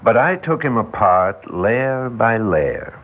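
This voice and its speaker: An actor playing an older, world-weary defense lawyer, folksy and wry, with rambling, emphatic delivery in a 1960s radio drama. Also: male voice, lowish voice, big pitch swings, takes it slowly, narrow, tinny audio, heavily acted. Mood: explaining, wry. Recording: band-limited vintage 1960s radio recording.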